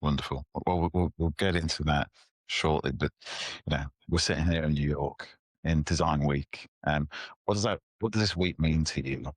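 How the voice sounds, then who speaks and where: A man's voice: rumbling low, moderate pace, breathy edge, no echo. A man hosting a design interview podcast, friendly and full of small fillers, recorded in an apartment. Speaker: podcast host.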